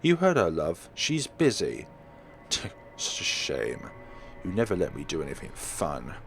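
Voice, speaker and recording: Man acting a teasing, flippant rich gentleman. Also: sits low, wildly swooping pitch, measured pace, faint music behind, voice pushed down, exaggerated voice. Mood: mock disappointment.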